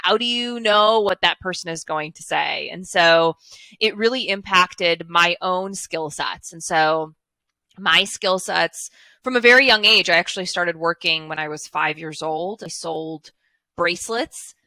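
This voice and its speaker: A woman's voice: fairly low pitch, measured pace, pushed and bright, no echo. A woman, telling her story as a guest over a headset video call, earnest and fluent with frequent fillers. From Chicago, USA. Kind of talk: casual interview storytelling. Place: home office with blinds, indoors.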